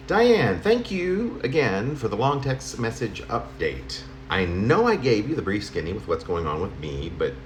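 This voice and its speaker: A mature man with a fairly low voice reads his own poem in a very echoey room. His pitch moves around a lot as he goes, halting and conversational, like a personal letter read aloud. A faint noise sits behind him. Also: a bit bright. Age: middle-aged or older. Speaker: poet reading own work. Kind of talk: spoken poem reading in the form of a personal letter. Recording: roomy home recording, faint noise.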